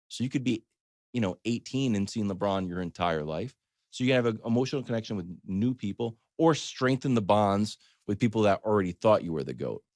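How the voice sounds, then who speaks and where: A man with a low voice speaks in a dry, close recording. He talks like a sports host sharing a personal story, conversational and emphatic as he makes his points. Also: dark tone, dry recording. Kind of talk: casual anecdotal storytelling and sports opinion.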